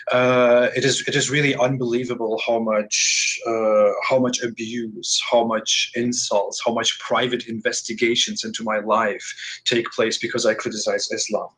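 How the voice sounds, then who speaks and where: A man's voice: medium pitch, fairly bright tone, breathy edge, low-quality recording. A man hosting an audio call interview, speaking hesitantly with frequent restarts. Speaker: host.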